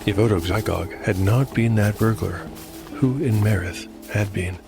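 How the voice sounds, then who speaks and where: A man reading scripted dark fantasy narration in a measured storytelling manner. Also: fairly low pitch, creaky. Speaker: narrator and host. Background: music.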